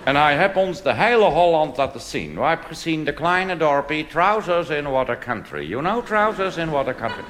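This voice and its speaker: A man's voice: a little high, big pitch swings, moderate pace, hardly any pauses, background noise. A man voicing a chatty English-speaking male tourist who mixes English words into clumsy Dutch grammar. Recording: reverberant live hall with music.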